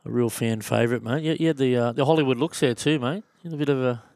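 A man's voice: medium pitch, quick pace, darkish tone, no echo, studio quality. A man with an Australian accent co-hosting a podcast, chatty and calling everyone "mate". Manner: matey, says mate constantly. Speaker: podcast co-host. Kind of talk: casual podcast chat.

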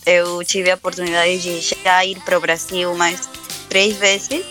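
A young woman guest, speaking Portuguese slowly and carefully. Her voice is bright and clear, and she stops often to restart words. Faint music plays behind her. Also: lowish voice, flat delivery. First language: Spanish. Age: young adult. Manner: hesitant, halting, often restarting words and laughing. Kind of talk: live interview.

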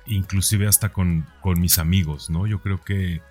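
A man in his forties with a deep, low voice speaks as a podcast host over music. He thinks aloud about himself in a conversational way, often rounding off a thought with a small questioning tag. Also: fairly even intonation, a little slow, a little mellow, breathy edge. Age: 40s. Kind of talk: reflective personal podcast monologue.

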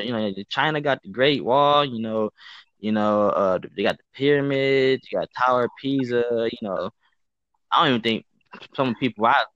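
A man's voice: a bit bright, no echo. A man in his twenties from the United States chatting casually, rambling with lots of fillers. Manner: loose, rambling, many fillers like "like" and "you know". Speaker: podcast co-host. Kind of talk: casual chat. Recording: muffled low-fidelity audio.

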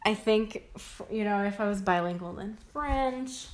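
A young woman talking casually on a podcast. She thinks out loud, restarting her sentences and stacking fillers as she goes. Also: medium pitch, measured pace. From Japan and New York, grew up between two languages. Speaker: podcast co-host. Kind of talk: casual chat.

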